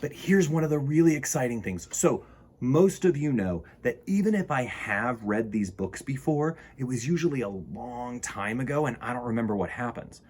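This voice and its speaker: Male picture book reader, theatrical and playful, with very lively intonation and a husky edge. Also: mid-range voice, moderate pace. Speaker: children's storytime reader. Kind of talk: animated picture book read-aloud with casual asides.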